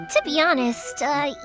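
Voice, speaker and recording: A woman voicing a cheerful, sincere young fireworks maker in a video game, chatty and earnest. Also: extremely high pitch.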